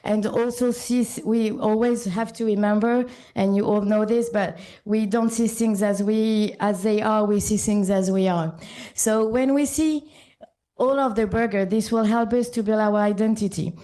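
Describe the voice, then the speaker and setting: A woman with a French accent giving a short conference talk to a live audience, often pausing with ums and restarting sentences. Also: mid-range voice, fairly even intonation, mellow. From born in Sri Lanka, French and Italian parents. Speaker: conference speaker.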